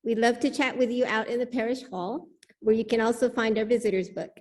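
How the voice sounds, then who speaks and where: A woman's voice: on the high side, fairly even intonation, brisk, darkish tone. A woman reading and making announcements as the worship leader of a church service, speaking into a pulpit microphone. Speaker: worship leader welcoming the congregation.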